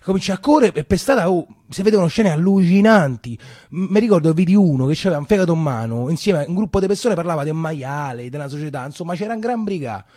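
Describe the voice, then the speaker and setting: An actor playing a Roman man recalling his wartime boyhood in an emotional, colloquial, halting theatrical monologue with a Roman accent. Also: on the high side, lively intonation, warm tone, no room sound, clean studio sound. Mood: animated, urgent. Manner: colloquial, halting, repeating himself. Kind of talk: theatrical first-person monologue in dialect.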